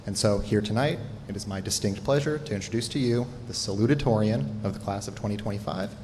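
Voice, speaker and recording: A man's voice: fairly low pitch, fast, a little mellow. A middle-aged man, a teacher, giving a formal introduction of a student on stage, praising him with the odd "uh" filler. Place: large hall or gym, on stage. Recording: echoey hall with faint noise.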